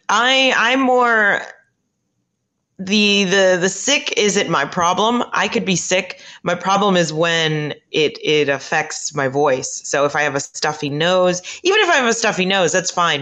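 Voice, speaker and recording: A woman who works as a late-night radio host, chatting as a podcast guest, halting and laughing often as she talks. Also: lowish voice, fairly bright tone. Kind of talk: casual chat.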